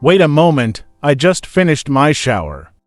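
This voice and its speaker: A man narrating an animated story voices a sleazy husband, shouting and flustered. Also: a little high, moderate pace, no echo, soft background music. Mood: panicked. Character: sleazy panicking cheating husband.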